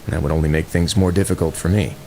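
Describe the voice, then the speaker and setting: An actor playing a composed, mysterious prison duke in a video game, speaking in a polite, deliberate, authoritative way over music. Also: male voice, sits low, steady tone, warm tone. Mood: measured, reasoning. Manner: measured, polite, smoothly deliberate. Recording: music behind the voice.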